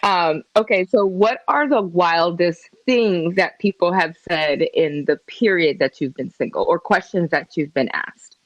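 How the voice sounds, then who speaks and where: A woman around forty hosting a casual podcast interview, playful and quick with reactions. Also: on the low side, no echo, dull audio. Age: 40s. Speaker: podcast host.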